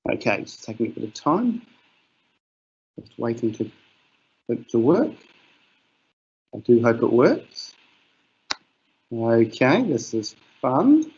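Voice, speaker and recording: A man's voice: mid-range voice, sing-song, slow pace, long pauses, darkish tone, a little hiss or hum. A middle-aged man with a New Zealand accent, a teacher giving a casual webinar presentation with many fillers. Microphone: video call. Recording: muffled online call audio.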